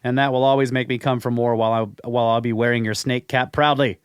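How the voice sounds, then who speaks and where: A man in a podcast studio reads a listener's email and chats loosely about it. His voice sits in an ordinary middle pitch, and the room sounds dry and close. He comes across as easygoing and a little skeptical, with frequent chuckles. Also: level tone, fast talker, clear, resonant tone, dry recording. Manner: laid-back, skeptical, chuckles often. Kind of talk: casual podcast chat and email reading.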